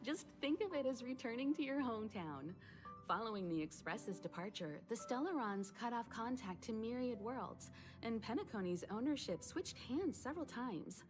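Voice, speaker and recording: A woman voicing a gracious, knowing navigator in a video game, speaking in a measured, formal, gently musing way. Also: on the high side, lively intonation, lightly acted. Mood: gracious, reflective. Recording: game dialogue over music.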